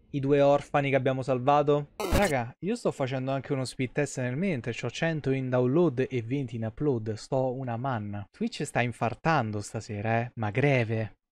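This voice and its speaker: A young man doing casual, slangy live game commentary with some swearing. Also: medium pitch. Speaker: game streamer. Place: small indoor room, webcam stream.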